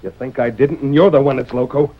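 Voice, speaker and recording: An actor playing a surly, hot-tempered outlaw with a blustering rustic western drawl, in old-time radio style. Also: male voice, medium pitch, fairly even intonation, brisk, close to an everyday voice. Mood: irritable, wary. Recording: muffled noisy vintage radio broadcast.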